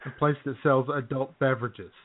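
A man's voice: mid-range voice, steady tone, brisk. A man co-hosting an internet radio show with casual, joking banter. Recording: tinny phone-line internet radio sound.

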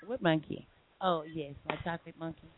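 A woman's voice: deep voice, steady tone, brisk. A woman hosting a late-night call-in radio show. She argues back emphatically and repeats herself. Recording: tinny phone line with faint hiss.

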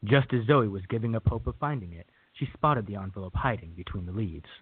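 A man's voice: on the low side, animated, a little fast, a little hiss or hum. A man narrating a scripted radio drama as a storyteller. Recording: tinny, phone-like narrow band.

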